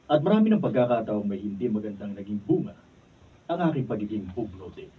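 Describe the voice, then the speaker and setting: A man's voice: on the low side, lively intonation, fairly quick, lightly acted. A man playing an irritable, scolding husband and father in a Filipino radio drama. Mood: regretful. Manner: snapping, scolding outbursts. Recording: distant mic, dull faintly noisy sound.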